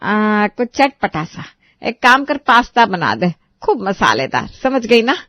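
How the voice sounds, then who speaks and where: A woman's voice: mid-range voice, very animated, unhurried, fairly bright tone, no room sound, studio quality. A woman voicing a demanding, greedy middle-aged mother-in-law who nags and taunts her daughter-in-law. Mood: bossy. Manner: nagging, sarcastic, bossy.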